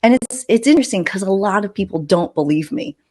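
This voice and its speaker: A woman's voice: medium pitch, expressive, fairly quick, a little mellow. A woman hosting a podcast, telling personal stories casually with emphatic delivery and joking asides. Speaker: podcast host. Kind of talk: casual podcast chat, anecdotal storytelling.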